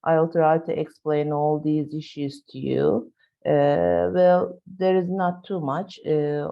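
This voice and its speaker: A woman from Turkey with a Turkish accent giving a webinar lecture, halting with frequent fillers and asking "right?" to check in with listeners. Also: low-pitched. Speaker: university lecturer. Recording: dull lo-fi webinar audio.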